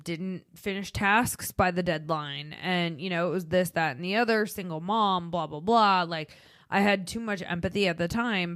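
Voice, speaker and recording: This is a woman in her thirties with a Chicago accent, talking on a podcast in a frank, emphatic way. Her voice sits a little low, and the recording is close and dry. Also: a bit bright, no echo. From Midwestern United States. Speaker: podcast co-host. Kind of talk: casual podcast chat.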